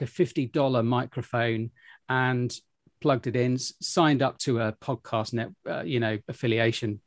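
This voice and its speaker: A man's voice: mid-range voice, no echo, studio quality. A man talking as a podcast guest, earnest and upbeat, rambling with many restarts. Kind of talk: casual interview chat.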